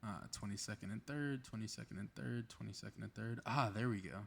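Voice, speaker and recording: Low-voiced, gravelly playful superhero played by a man. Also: brisk, a bit bright, heavily acted. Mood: muttering, self-doubting. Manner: teasing, casual banter, muttering to himself. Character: cocky, playful, flirty male superhero, awkwardly eager. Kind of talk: ASMR roleplay audio drama.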